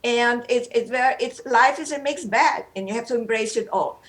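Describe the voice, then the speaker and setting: Older woman, energetic off-the-cuff speaker who rambles and repeats. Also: fairly high pitch, normal speed. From Romania. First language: German. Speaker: guest speaker. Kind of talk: unscripted motivational talk.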